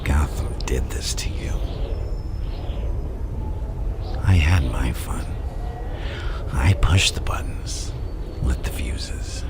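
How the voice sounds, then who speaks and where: Very deep, gravelly whispering villain. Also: male voice, slow, exaggerated voice. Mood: coaxing, sinister. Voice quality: gravelly, breathy, often whispering. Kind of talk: ASMR villain roleplay monologue.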